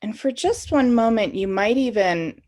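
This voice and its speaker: A woman's voice: on the high side, animated, normal speed, darkish tone. A woman leading a guided meditation in an informal, conversational and inviting way, as the guide of the session.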